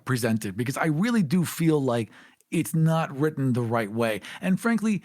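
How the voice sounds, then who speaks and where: A man co-hosting a podcast, talking emphatically in casual chat and jumping up in pitch to stress his points. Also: moderate pace, dry recording, clean studio sound. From Armenian heritage. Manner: emphatic, animated, jumps up in pitch when making a point. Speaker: podcast co-host.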